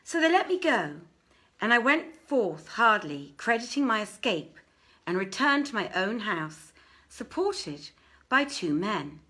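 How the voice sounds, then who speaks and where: A woman reading an old literary tale aloud as a storyteller, with expressive, measured phrasing. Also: mid-range voice. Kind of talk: dramatic live literary reading.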